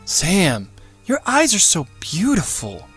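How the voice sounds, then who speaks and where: Here is a frightened young woman in love, acted out by a male narrator in an audiobook. Her voice is high and breathless, full of disbelief and questions, with music underneath. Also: wildly swooping pitch, takes it slowly, fairly bright tone, breathy edge, full character voice. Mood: entranced.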